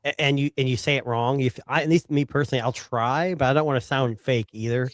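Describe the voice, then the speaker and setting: A man guests on a tech podcast in an easygoing way. His voice is mid-pitched. He is picked up dry and close. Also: fairly even intonation, fast, no room sound. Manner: easygoing, laughs often. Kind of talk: casual panel chat.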